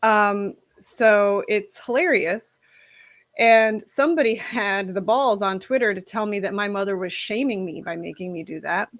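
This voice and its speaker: A frank, animated woman chatting as a guest on a stream. Her voice sits at an ordinary middle pitch. The recording is dry and close, with almost no room sound. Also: measured pace, dry recording. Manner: frank, outspoken and animated, swears casually. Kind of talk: casual chat on a stream.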